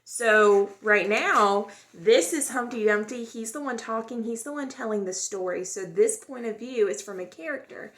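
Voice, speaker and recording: Higher-pitched woman presenting a children's read-aloud. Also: fairly bright tone. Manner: pauses to explain and wonder aloud to young listeners. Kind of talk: casual picture-book read-aloud with commentary.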